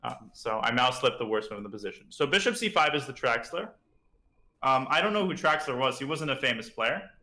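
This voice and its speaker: A man's voice: medium pitch, lively intonation, brisk, sharp tone, echoey room. A man with a slightly parched throat, chatting casually as he teaches chess on a live stream and thinks aloud about moves.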